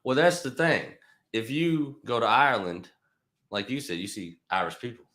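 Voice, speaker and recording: A man with a mid-range voice, chatting loosely on a podcast. He swears offhandedly and keeps up a steady run of short "yeah"s as he listens. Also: mid-range voice. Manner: loose, profane, quick backchannels. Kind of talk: casual conversation.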